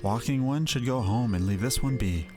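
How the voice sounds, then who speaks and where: A man plays a small, wary forest sylph in a fantasy game, speaking in stilted, formal phrases. The voice sits at a medium pitch, with prominent music behind it. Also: normal speed, close to an everyday voice. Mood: wary, dismissive. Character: wary little forest sylph, formal, third-person speech.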